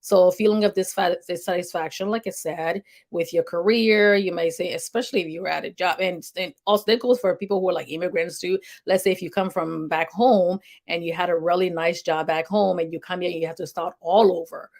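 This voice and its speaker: Woman, middle-aged, health show host, gravelly voice, loose rambling delivery, lots of "okay" and "you know". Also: on the low side, steady tone, brisk, hardly any pauses, a little mellow. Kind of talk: informal health talk to an audience.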